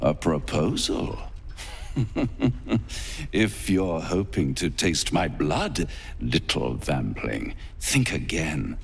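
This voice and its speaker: A suave gentleman devil with a low, gravelly voice. He is smug, menacing and theatrical, savouring each word as his pitch sweeps dramatically in a game scene. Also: big pitch swings, very slow, long pauses, exaggerated voice. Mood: sly, menacing. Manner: silky, theatrical, savouring words. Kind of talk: video game voice acting.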